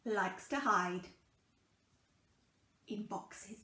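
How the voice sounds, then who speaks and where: A young woman reading a children's picture book aloud in a deliberate, sing-song way with dramatic pauses, recorded in a small room at home. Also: medium pitch, pushed and bright, echoey room. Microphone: camera a couple of metres away.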